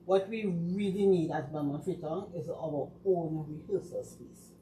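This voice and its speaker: Lowish-voiced Trinidadian woman, echoey room. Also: a little slow, mellow. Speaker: guest from a community dance company. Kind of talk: casual panel discussion. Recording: echoey room with faint noise.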